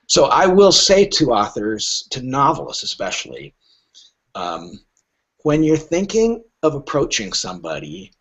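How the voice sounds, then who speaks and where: A man's voice: medium pitch, wildly swooping pitch, measured pace, a bit bright, breathy edge, rough recording. A middle-aged man speaking conversationally as an interview guest, wry and joking, with anecdotal answers. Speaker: literary agent, podcast guest.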